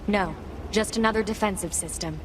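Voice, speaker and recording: A woman's voice: medium pitch, moderate pace, noisy background, natural voice. An actress playing a cool, stoic female android soldier in a video game, terse and businesslike. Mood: cool, curt. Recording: loud music over the voice.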